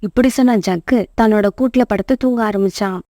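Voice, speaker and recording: A woman's voice: medium pitch, brisk, warm tone, dry recording, studio quality. A woman narrating a scripted moral story for children.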